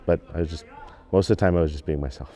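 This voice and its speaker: A man's voice: low voice, very fast, dark tone. A teenage male student actor explaining his role in a casual interview. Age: teens. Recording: faint background noise.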